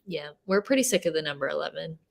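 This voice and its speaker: Young woman guest, gravelly edge, easygoing and chatty, laughs a lot. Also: low-pitched, fast talker. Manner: laughs often, relaxed and chatty. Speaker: guest athlete. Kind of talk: casual podcast chat.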